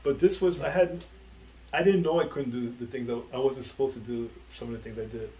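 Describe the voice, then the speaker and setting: Middle-aged man, rambling and halting. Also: medium pitch, steady tone, dark tone, echoey room, poor audio. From the Bronx, New York. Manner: rambling, halting, many fillers and restarts. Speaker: guest, comic book writer. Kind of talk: casual interview conversation. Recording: faint background noise.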